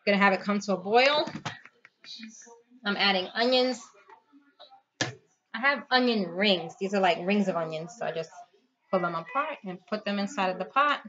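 A woman's voice: mid-range voice, animated, normal speed, pauses often. A woman in her thirties giving a casual, chatty home cooking vlog, talking viewers through each step while her hands are busy. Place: home kitchen, indoors. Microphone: built-in camera or phone mic within arm's reach. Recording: dull lo-fi audio, loud noise, clicking.

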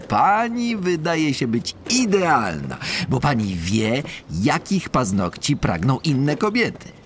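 A man playing a smooth-talking, flattering conman in a true-crime dramatization, with a theatrical, drawn-out delivery. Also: medium pitch, a little hiss or hum.

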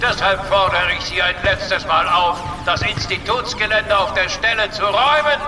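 A man's voice: high-pitched, fairly even intonation, normal speed, roomy sound, in a large hall, far from the mic, noisy background, natural voice. An actor playing a pompous, authoritarian general who barks orders at his troops. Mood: blustering. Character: blustering military general, male, middle-aged, authoritarian.

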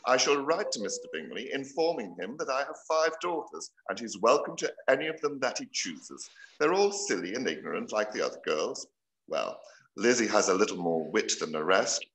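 A middle-aged gentleman played by a man teases drily in a mid-pitched voice with plenty of swoop. The delivery is wry period comedy, heard in a roomy, echoing space over a video call. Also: moderate pace. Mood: sardonic teasing. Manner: wry, deadpan teasing. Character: dry, teasing middle-aged gentleman husband and father. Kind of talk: play read-through, period comedy.

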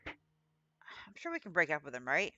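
Woman chatting over her game, forceful lowish voice, kinda dull mic. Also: huge pitch swings, a little slow, dry recording. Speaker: gamer commenting on gameplay. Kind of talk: casual gameplay commentary.

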